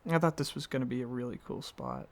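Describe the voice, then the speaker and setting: A man playing a gentle, affectionate dragon boyfriend in an intimate ASMR roleplay, speaking softly and earnestly with some hesitant rambling. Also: medium pitch, huge pitch swings, fast. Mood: apologetic, nervous. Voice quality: soft, husky, slightly gravelly, breathy.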